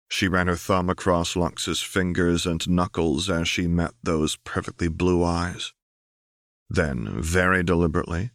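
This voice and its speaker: A man's voice: low voice, no room sound, studio quality. A man reading scripted fiction aloud as an audiobook narrator.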